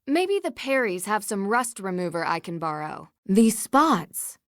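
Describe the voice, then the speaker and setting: An actress playing a young female amateur detective, musing aloud in a measured, observant way for a video game. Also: medium pitch, big pitch swings, a bit bright, no room sound. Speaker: game protagonist. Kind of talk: scripted game voice lines, thinking aloud.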